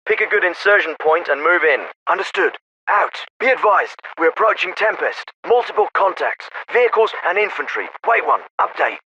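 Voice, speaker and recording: Casting: stern, sardonic special forces captain for a military game; higher-set, bright forceful voice, clipped orders, some thin radio-filtered audio. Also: male voice, lively intonation, normal speed, lo-fi sound. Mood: stern, commanding. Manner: clipped, authoritative, dry sarcasm. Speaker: military squad commander. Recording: radio-filtered, band-limited clips with faint static.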